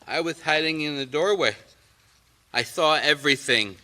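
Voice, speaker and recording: An actor playing a simple, timid but insistent young male witness in a radio drama, halting and ungrammatical. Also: on the high side, bright, forceful tone, slight background noise, rough recording. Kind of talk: radio mystery drama acting.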